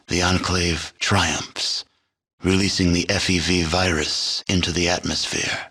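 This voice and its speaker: A solemn narrator for a post-apocalyptic game. His voice sits very deep and has a husky, grainy edge. He delivers scripted storytelling with grave, deliberate weight. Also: bright, forceful tone, exaggerated voice. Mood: grave, ominous.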